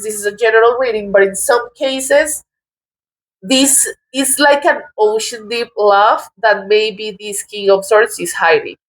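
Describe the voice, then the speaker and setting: A woman from Colombia with a Colombian accent, giving a casual tarot reading in a halting, thoughtful way, often restarting her words. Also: on the high side, low-quality recording. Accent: Colombian Spanish. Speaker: tarot reader.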